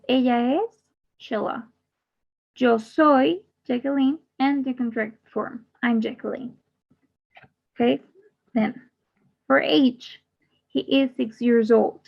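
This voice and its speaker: A woman's voice: high voice, gravelly. A woman teaching an English grammar class, repeating each example and then translating it. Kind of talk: bilingual grammar lesson. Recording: muffled lo-fi audio.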